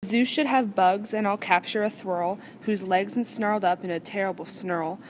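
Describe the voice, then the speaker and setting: Mid-pitched woman reading a storybook. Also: moderate pace, poor audio. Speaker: staff member reading aloud. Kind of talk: storybook read-aloud. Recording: faint background room noise.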